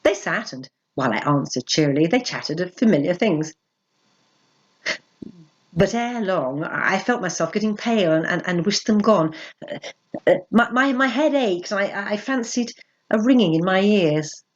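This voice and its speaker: A woman performing a mad, gloating, nervous murderer's confession as theatrical Gothic horror storytelling, with a husky voice and emphatic pauses. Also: mid-range voice, lively intonation, muffled recording, lightly acted. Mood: rising panic. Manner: theatrical, agitated, emphatic repetitions and dramatic pauses.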